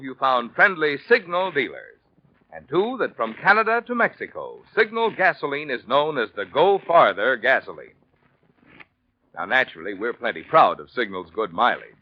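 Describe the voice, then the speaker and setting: Animated 1940s male radio announcer. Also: mid-range voice. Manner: polished, folksy salesmanship. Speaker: announcer and advertising pitchman. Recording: dull vintage 1940s radio recording.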